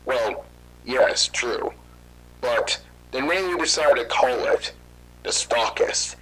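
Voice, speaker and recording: An actor playing a calm government interviewer who speaks in a composed, formal way. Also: male voice, medium pitch, slow, husky, exaggerated voice, low-quality recording. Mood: matter-of-fact. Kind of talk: amateur horror audio drama.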